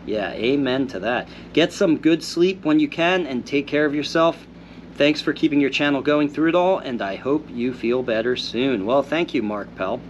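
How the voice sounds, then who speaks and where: A man's voice: medium pitch, moderate pace, dull audio, a little hiss or hum. Congested man reading viewer comments. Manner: reads viewer comments aloud and replies in an easygoing, thoughtful way. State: congested after illness. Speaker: host answering viewer questions.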